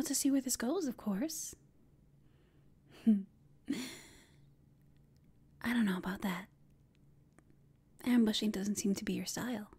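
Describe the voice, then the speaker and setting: A woman performs a flirtatious villainess in an intimate ASMR roleplay. Her voice is extremely breathy and mostly whispered, with a soft, mellow tone. She takes her time, teasing and taunting her enemy with smug amusement. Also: mid-range voice. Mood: mocking, amused.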